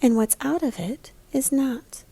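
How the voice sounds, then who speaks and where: A woman with a soft-edged, mellow and breathy voice that often creaks at the ends of phrases. She speaks as an elementary art teacher, guiding young children through a picture book and prompting them to look closely. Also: high voice, measured pace. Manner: guiding, prompts listeners with questions.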